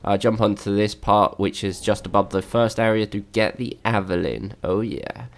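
A man's voice: on the low side, normal speed. A young man with a slightly worn voice, casually narrating a game walkthrough with many fillers and restarts. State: slightly lost voice.

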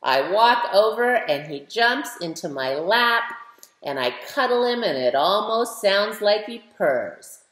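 A woman's voice: medium pitch, big pitch swings, takes it slowly, a bit bright. A woman of fifty reading a picture book aloud expressively, speaking directly to young listeners with questions and emphasis. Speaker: children's author reading aloud. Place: living room at home, indoors. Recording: roomy indoor webcam echo.

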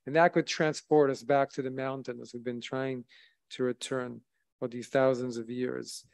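A man in his thirties, a rabbi, delivering a sermon from a synagogue lectern with measured, solemn emphasis. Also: on the high side, darkish tone. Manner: measured, solemn preaching with rising emphasis on key phrases. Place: synagogue sanctuary at a wooden lectern, indoors. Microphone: lectern microphone, streamed by video.

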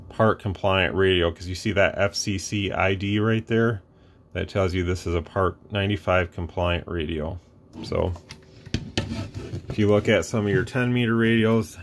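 A deep-voiced man talks through a radio demo at his workbench. He rambles easily, restarting sentences and dropping fillers as he explains. A faint hum sits behind him. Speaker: radio reviewer. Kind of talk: casual product demo. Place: workbench room with radio gear.

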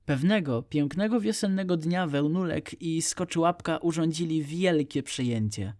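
A man's voice: a little high, moderate pace, darkish tone, dry recording, clean studio sound. A man narrating an audiobook story for young children.

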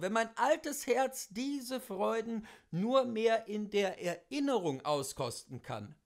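A man reads a literary memoir aloud in a high-pitched voice. His intonation swings widely from phrase to phrase. The delivery is theatrical and expressive, with emphatic, rhetorical phrasing. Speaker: literary reader. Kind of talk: dramatic literary reading of a memoir.

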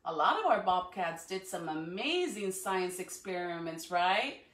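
A woman's voice: lowish voice, big pitch swings, unhurried, fairly bright tone. A woman reading aloud to children on a livestream as a school educator, in an encouraging way, recorded at home from a few feet away. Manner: encouraging, addressing children with questions. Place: living room at home, indoors. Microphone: phone or camera a few feet away. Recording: echoey room, distant from the microphone.

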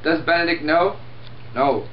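A young man reading his parts flatly for a home puppet show of a classic play. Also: a little high, a little slow, muffled recording, a little hiss or hum. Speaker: amateur sock-puppet performer.

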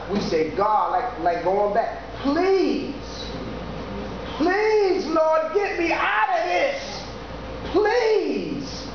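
A preacher giving an informal sermon, talking slowly and conversationally with frequent "yeah" and "you know". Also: high-pitched. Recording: echoey, dull recording with steady background noise.

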